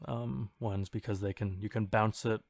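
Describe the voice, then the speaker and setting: Male podcast co-host, lower register, informal and halting explainer, dry recording. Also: steady tone, gravelly, a little mellow, no room sound. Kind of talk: casual explanatory podcast chat.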